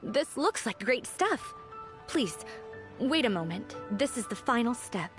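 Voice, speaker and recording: An actress voicing a gentle, earnest young townswoman in an anime game, polite and sincere. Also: a little high, big pitch swings, measured pace. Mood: polite, pleased. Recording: game music behind.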